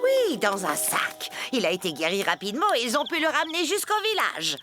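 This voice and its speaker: A woman's voice: fairly high pitch, huge pitch swings, fairly quick, sharp tone, soft background music, heavily acted. A woman voicing a timid, chatty female jungle animal in a French cartoon dub, with a plaintive delivery. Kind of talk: French-dubbed cartoon acting.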